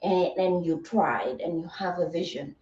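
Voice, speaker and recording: A woman speaks slowly and reflectively in a soft-edged, mellow, soothing voice, like a host encouraging viewers on a livestream. She is earnest and pauses to check that listeners follow. The sound is slightly muffled, with room echo around her. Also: on the low side. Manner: earnest, reflective, rhetorical check-ins like "right?" and "okay". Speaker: livestream host and motivational content creator. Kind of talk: live motivational talk, replying to viewers. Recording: roomy echo, distant mic, slightly muffled.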